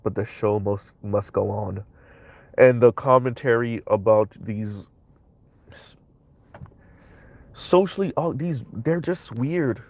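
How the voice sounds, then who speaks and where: A man's voice: mid-range voice, takes it slowly, vocal fry, pauses often, a little mellow, no room sound. A young man with a cold and a stuffy voice, hosting a livestream of emphatic social commentary full of tag questions. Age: young adult. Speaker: commentary channel host.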